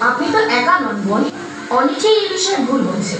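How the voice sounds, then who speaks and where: Firm, caring female social worker played by a woman for a puppet show: high, lively, emphatic, echoing. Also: a little fast, background noise. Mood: earnest, persuasive. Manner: emphatic, preachy. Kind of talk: scripted puppet show dialogue, awareness speech. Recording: very echoey, distant, music behind.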